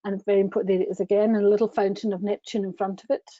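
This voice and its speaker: A woman's voice: medium pitch, little pitch movement, racing, mellow. A middle-aged woman enthusiastically presenting travel slides, chatty and gushing, speaking over a webcam on a video call. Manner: gushing, chatty, with frequent restarts. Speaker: tour company presenter. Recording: dull lo-fi video call audio.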